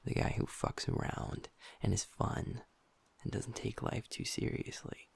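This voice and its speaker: A man voicing a troubled young werewolf who is his pack's second in command, speaking softly and hushed, swearing and criticizing himself in an intimate audio roleplay. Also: a little slow, lightly acted. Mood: frustrated. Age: young adult.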